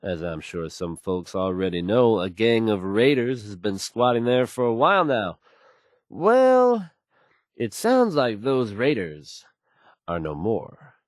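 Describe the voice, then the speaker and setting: A man's voice: fairly low pitch, sing-song, takes it slowly, no room sound, clean studio sound. A man playing a self-assured radio newscaster in a video game, fluent and folksy as he announces the news. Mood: grave, confident. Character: confident, smooth, assured male radio newscaster.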